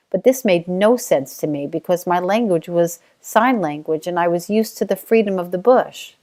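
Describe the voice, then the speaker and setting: Middle-aged Australian woman, low-ish voice, steady reflective memoir read. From Australia (Tasmania). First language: Auslan (sign language). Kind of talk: reading a personal life story.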